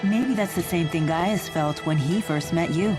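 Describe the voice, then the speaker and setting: A woman's voice: lowish voice, a little slow, natural voice. An actress playing a composed, blunt, resolute young woman in a video game. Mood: calm, sincere. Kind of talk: English video game voice acting. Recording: background music under the voice.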